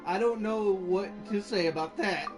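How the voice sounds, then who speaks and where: A woman giving casual, halting, amused gameplay commentary as a streamer. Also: mid-range voice. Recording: game music behind the voice.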